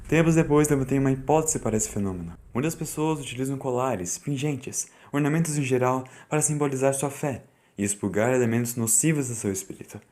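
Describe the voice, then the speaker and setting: A man playing a reflective, fearful man of science, narrating an audiobook in the first person in a solemn, deliberate, brooding way. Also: medium pitch, darkish tone, close to an everyday voice. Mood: haunted, reflective. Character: Doctor Carlos, fearful, reflective man of science recounting events. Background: music.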